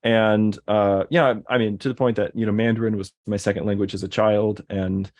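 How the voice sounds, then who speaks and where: A man's voice: on the low side, fairly even intonation, a little fast, a little mellow. A man in casual conversation, rambling, with many fillers and restarts. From American Deep South. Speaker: guest.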